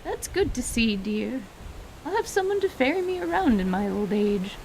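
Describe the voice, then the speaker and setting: A woman's voice: fairly high pitch, sing-song, moderate pace, darkish tone, slight background noise, low-quality recording. A woman playing an elderly, teasing, fondly scolding grandmother in radio theater, with quaint, folksy, theatrical phrasing. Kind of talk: dramatized radio theater acting.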